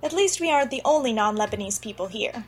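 A woman's voice: fairly high pitch, animated, fast, over music. A woman reporter giving scripted radio narration with a wry, lightly humorous delivery.

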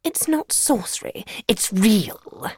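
A woman's voice: a little high, sing-song, takes it slowly, breathy edge, dry recording, studio quality, exaggerated voice. A woman voicing a smug, haughty pet cat with a condescending, purring drawl in a dramatised children's audiobook. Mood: smug, mocking.

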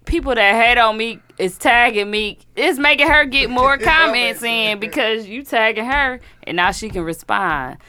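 A woman's voice: fairly high pitch, moderate pace, hardly any pauses. A woman hosting a casual podcast, chatting loosely with frequent fillers and laughter. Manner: relaxed, laughing, trailing off with fillers. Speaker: podcast host.

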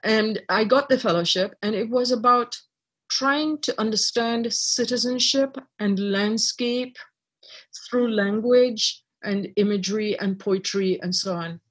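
A middle-aged woman from Trinidad, a writer and artist, talking reflectively in an interview with many pauses and restarts. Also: on the high side, a bit bright, husky. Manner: thoughtful, many fillers and restarts.

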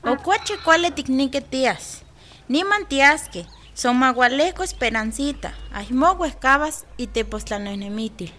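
An actress playing a practical, reassuring village friend giving advice in a radio soap opera. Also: female voice, high-pitched, animated, unhurried, sharp tone, a little hiss or hum, heavily acted.

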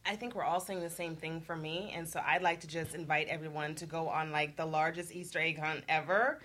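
Female panelist, slightly low voice, folksy and encouraging, casual talk. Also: fairly even intonation, a little fast, fairly bright tone.

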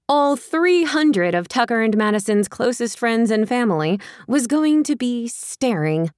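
Expressive woman narrating, dry close sound. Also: fairly high pitch, dry recording. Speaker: audiobook narrator. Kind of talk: scripted fiction narration, romantic comedy.

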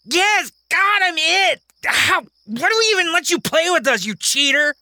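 A man's voice: very high-pitched, big pitch swings, unhurried, sharp tone, no room sound, studio quality, pitched above the performer's own voice, full character voice. A man voicing a hot-tempered young boy who whines and protests. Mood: sulky.

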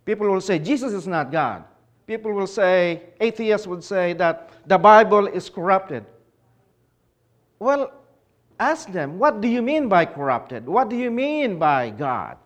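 Man from the philippines, conference speaker, slightly high voice, questions the audience. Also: big pitch swings, a little slow. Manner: explanatory, asks the audience questions. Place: conference hall.

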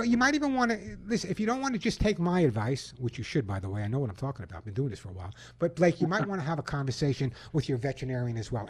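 A man's voice: medium pitch, a little mellow, dry recording. Man, radio pet show host, self-assured lecturing tone, close dry studio mic. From Long Island, New York. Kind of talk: call-in radio advice and live reads. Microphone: studio microphone.

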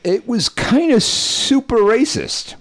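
A man's voice: very high voice, expressive, takes it slowly, a bit bright, slight background noise. A sardonic, profane man co-hosting a podcast in casual comedic banter. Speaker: podcast co-host.

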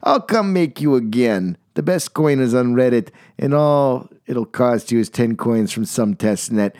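A man voicing a slick, fast-talking male traveling salesman in a rhyming storybook, with a clear, keen pitchman's voice. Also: lively intonation, darkish tone, no echo, studio quality, natural voice. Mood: sly.

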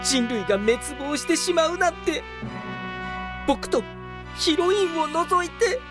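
An earnest male office worker in a comedic radio play. He is theatrical and self-absorbed, speaking high and unhurriedly with big swings of pitch. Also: lively intonation, a little slow. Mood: mournful. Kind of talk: comedic Japanese radio drama acting. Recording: voice over clear background music.

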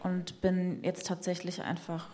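A woman's voice: lowish voice, completely flat delivery, unhurried, mellow, a little hiss or hum. A woman poet in conversation, speaking reflectively and searching for words with frequent fillers. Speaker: poet guest.

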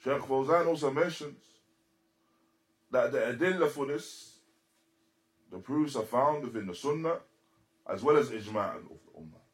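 A man giving a religious lecture as a teacher, speaking deliberately and emphatically in short, repeated phrases and switching into Arabic quotations. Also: mid-range voice, expressive. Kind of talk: religious lecture explaining a text.

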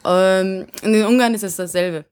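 A woman's voice: mid-range voice, moderate pace, a little mellow, a little hiss or hum. Chatty woman with a Hungarian-Viennese accent. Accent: Hungarian-Viennese German. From Hungary, raised in Vienna. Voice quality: chatty storyteller, many fillers, laughs easily. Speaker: band singer, interview guest. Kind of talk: casual interview chat.

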